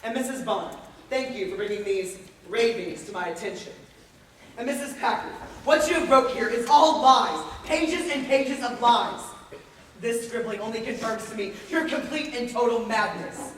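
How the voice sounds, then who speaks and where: A woman's voice: a little high, normal speed, slight background noise, close to an everyday voice. A woman on a school stage playing a stern, controlling asylum doctor with a formal, authoritative manner. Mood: stern, threatening. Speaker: student stage actor. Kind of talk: high school stage play acting. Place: large indoor stage, far mic. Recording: echoey stage recorded from far off.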